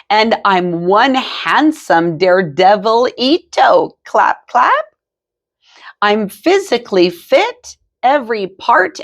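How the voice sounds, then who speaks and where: A woman's voice: high voice, pitched above the performer's own voice, heavily acted. A woman reading aloud, voicing a playful boy kitten who thinks he's a chihuahua, with bouncy, singsong mock-Spanish flourishes.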